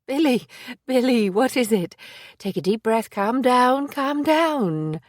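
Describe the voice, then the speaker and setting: A woman voicing a determined woman private investigator, speaking in a measured, formal, resolute way. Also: mid-range voice, expressive, darkish tone, dry recording, studio quality, voice pushed up, lightly acted. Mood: alarmed.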